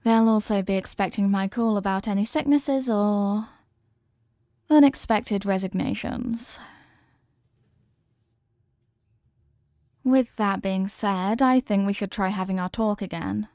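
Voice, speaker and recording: A woman's voice: mid-range voice, unhurried, a little mellow, no echo, lightly acted. Woman acting a possessive, lovesick wife, intimate and dry. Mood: controlling, smug. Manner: coaxing, melodramatic, lingering on words. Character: possessive, obsessively loving yandere wife, clingy and intense. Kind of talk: intimate ASMR roleplay audio drama.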